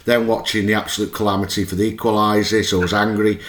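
Man, hoarse and low, keen podcast host; roomy sound. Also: flat delivery, fast talker, fairly bright tone. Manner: chatty and eager, with restarts. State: hoarse, losing his voice. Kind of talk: casual fan podcast chat.

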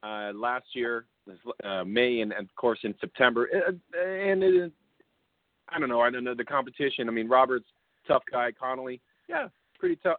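A man's voice: medium pitch, no room sound. A male host running casual fight picks, laid-back and chuckling, with lots of fillers. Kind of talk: casual fight-pick chat. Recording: dry tinny phone-line audio.

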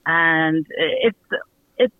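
Halting, higher-voiced man in a dry studio. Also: no room sound, narrow, tinny audio. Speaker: audio theater producer and guest. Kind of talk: casual radio interview.

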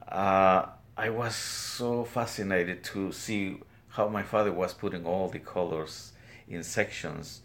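An older man with a Guatemalan Spanish accent recounts his life as a weaver in an interview, speaking plainly and haltingly in his studio. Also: low-pitched, fairly even intonation, fairly bright tone. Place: weaving studio, indoors.

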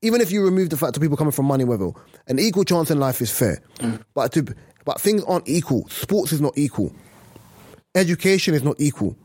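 A man co-hosting a casual podcast, talking in an emphatic, animated way. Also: on the high side, fast, slightly rough, no room sound. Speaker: podcast co-host.

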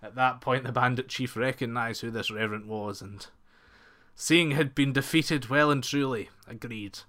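Male storyteller, folk tale reading, bright clear-cut tone, deliberate pauses, mid pitch.

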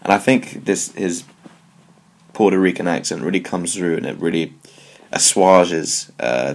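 Deep gravelly British man. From United Kingdom. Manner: wry, digressive, jokey asides. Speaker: podcast host. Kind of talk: casual solo commentary on music.

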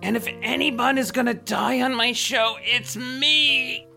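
A woman playing a gentle, forgiving dying show star in a radio play, speaking in a halting, tender way with a creaky voice. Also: a little high, soft background music, full character voice. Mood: dramatic. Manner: slow, halting, tender delivery.

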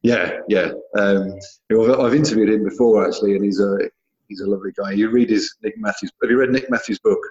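Low-voiced chatty British man, echoey line. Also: fairly even intonation, fast talker, darkish tone, highs cut off. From Britain. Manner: chatty, rambling, many fillers and restarts. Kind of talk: casual chat.

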